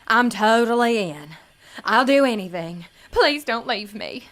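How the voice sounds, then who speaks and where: An actress performs a naive young country girl hoping to win a pageant. Her high voice draws words out slowly with an earnest, pleading manner, in audio comedy style. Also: expressive, fairly bright tone. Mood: pleading, eager. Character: innocent, needy young country blonde pageant hopeful. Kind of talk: comedic audio drama acting.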